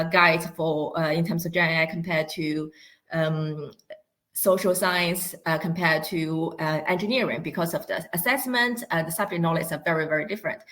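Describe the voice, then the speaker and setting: A woman with a Mandarin Chinese accent gives an academic presentation in English as a second language, explaining in a halting, semi-spontaneous way. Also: fairly low pitch. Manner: halting, explanatory, non-native phrasing. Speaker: guest speaker, university academic.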